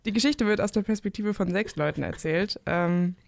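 Woman, mid-twenties, casual and creaky, dry studio sound. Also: medium pitch, normal speed, darkish tone, no echo. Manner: chatty, self-deprecating, many fillers. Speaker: new podcast co-host, student. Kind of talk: casual chat.

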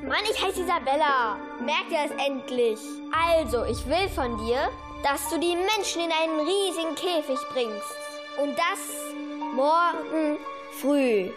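A woman's voice: sits high, expressive, a little slow, over music. A young schoolgirl playing a bossy, impatient female villain in an amateur radio play. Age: child. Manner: demanding, exasperated.

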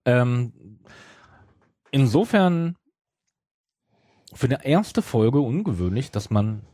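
A man's voice: mid-range voice, big pitch swings, slow, warm tone, no echo, clean studio sound. A man in casual podcast chat, musing hesitantly and hedging as a co-host.

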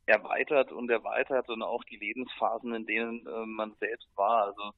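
A man's voice: lowish voice, level tone, normal speed, no room sound. A man talking casually as a musician being interviewed, heard over a thin, band-limited connection. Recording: tinny, phone-like band-limited line.

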